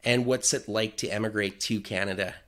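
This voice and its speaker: A man's voice: fairly low pitch, steady tone, a little fast, a bit bright. A man hosting an interview, putting conversational questions to his guest. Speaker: interviewer, host.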